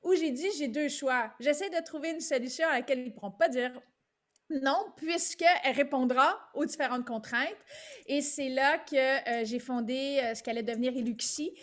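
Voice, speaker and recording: Québécois woman, middle-aged, high voice, lively webinar guest. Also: normal speed. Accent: Québécois French. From Quebec, Canada. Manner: lively and talkative, laughing often, with frequent fillers. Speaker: guest speaker, entrepreneur. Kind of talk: webinar presentation. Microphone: video call.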